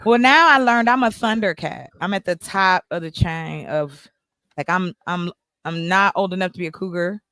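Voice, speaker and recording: A woman's voice: fairly low pitch, normal speed, fairly bright tone, clean tone, no echo. A woman in her forties hosting a livestream group chat, blunt and playful, teasing the men. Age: 40s. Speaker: host.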